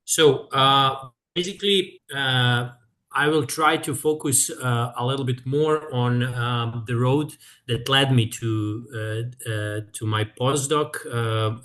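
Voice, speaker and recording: A man in his thirties from Serbia, speaking English, giving a halting presentation over a video call with frequent filler sounds. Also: mid-range voice, fairly bright tone. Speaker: guest speaker, researcher. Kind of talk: presentation in an online seminar.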